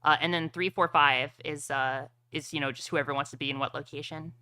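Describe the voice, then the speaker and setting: A woman's voice: deep voice, steady tone, a little fast, bright, forceful tone, no echo. A woman acting as game master for a streamed tabletop roleplaying game, talking casually with gamer slang.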